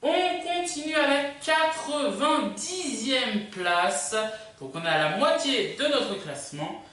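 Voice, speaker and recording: The voice comes through with heavy room echo, a little far from the microphone. It belongs to a video host with very animated pitch, talking off the cuff in a hesitant, rambling way. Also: slow. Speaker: video host presenting a countdown. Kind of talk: casual unscripted presenting to camera. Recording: echoey room, distant microphone.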